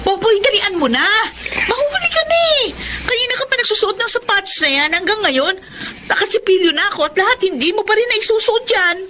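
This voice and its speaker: A woman's voice: very high-pitched, very animated, brisk, bright, forceful tone, soft background music, full character voice. An actress playing a caring eldest sister who tells her life story in a radio drama, with a Filipino accent. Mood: hurried, scolding. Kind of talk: radio drama narration and dialogue.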